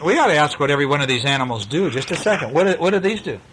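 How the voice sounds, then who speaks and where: A man's voice: on the high side, animated, racing, a bit bright. A man talking casually as a father with his small children over a picture book, coaxing them with questions and praise. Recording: noisy home recording with clicks.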